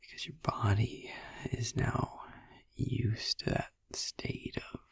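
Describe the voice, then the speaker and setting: A man playing a soothing vampire boyfriend in an ASMR sleep-aid roleplay, musing gently in a hushed, breathy whisper close to the microphone. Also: on the low side, little pitch movement, no echo, heavily acted. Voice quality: breathy whisper with gravelly creak. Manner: slow, hushed, gently musing with long pauses. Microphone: close microphone, dry.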